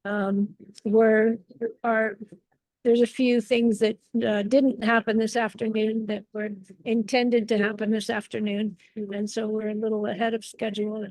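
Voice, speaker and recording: A woman hosting an online panel, talking in halting starts with many restarts and repeated words. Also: a little high, flat delivery, darkish tone, dry recording, poor audio. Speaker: event host and moderator. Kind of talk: casual panel moderation.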